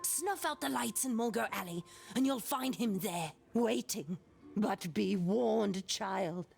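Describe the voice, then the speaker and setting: Cryptic game townswoman: creaky, mid-pitched, deliberate and ominous, soft music beneath. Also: animated, heavily acted. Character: brusque, cryptic, eerie storytelling townswoman. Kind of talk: video game character acting.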